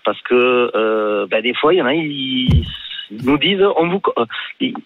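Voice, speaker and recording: A man's voice: mid-range voice, lively intonation, husky, no room sound. Guy w toulouse accent calling radio, thin phone sound, hesitant. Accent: Toulouse, southern French. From Toulouse, France. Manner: hesitant, halting. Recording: thin narrow phone line.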